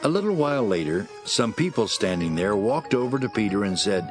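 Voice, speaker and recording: A man's voice: on the low side, sing-song, fairly quick, over music. A man narrating scripture as a scripted dramatic reading.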